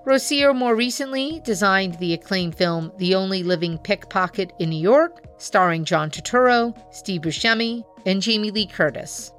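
A woman hosting a podcast, reading a formal scripted introduction and asking interview questions in a measured way. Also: medium pitch, fairly bright tone, faint music behind. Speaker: podcast host.

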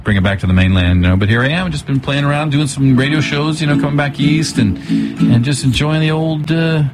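A man's voice: a little high, a little fast, loud music behind the voice. A man from Hawaii chatting casually as a guest musician on a radio show, rambling in a laid-back, hippie way. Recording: clearly noisy background.

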